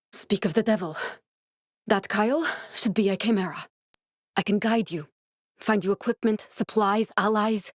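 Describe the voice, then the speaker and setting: An actress playing an earnest, guarded woman scientist in a video game, speaking in a measured, serious, instructive way. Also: mid-range voice, no echo, clean studio sound, lightly acted.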